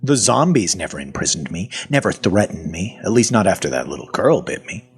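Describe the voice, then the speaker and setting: A man's voice: low voice, very animated, fairly quick, husky, full character voice. A man playing a sardonic, folksy half-zombie survivor telling his story in an audio drama. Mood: agitated sarcasm. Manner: folksy, colloquial, wry. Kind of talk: first-person audio fiction narration with acted dialogue.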